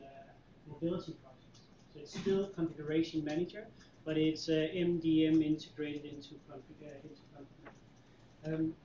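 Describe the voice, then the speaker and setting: A middle-aged man with a Danish accent, giving a relaxed, chatty tech talk with many fillers and restarts. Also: fairly high pitch, a little hiss or hum. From Denmark. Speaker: conference presenter, consultant. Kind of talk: user group tech presentation. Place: meeting room. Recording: echoey room, distant mic, dull lo-fi sound.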